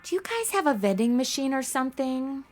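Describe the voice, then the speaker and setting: Sassy, animated young woman, sarcastic acted role. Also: high voice, brisk, faint music behind. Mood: complaining. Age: young adult. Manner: sarcastic, snarky, exaggerated reactions. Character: sassy, impatient modern twenty-something woman stuck in the 1950s. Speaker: actor in a comedy audio drama. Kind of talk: comedic audio drama acting.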